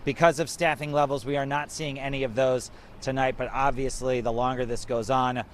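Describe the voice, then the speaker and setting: A man's voice: mid-range voice, flat delivery, fairly quick, a little hiss or hum. An American man working as a TV news reporter, delivering live transportation reports in broadcast style.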